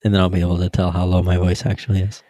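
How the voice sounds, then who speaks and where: A man's voice: low-pitched, flat delivery, racing, dark tone, no room sound, clean studio sound. A teenage man chatting as a podcast guest among friends, blunt and offhand. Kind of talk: casual chat among friends.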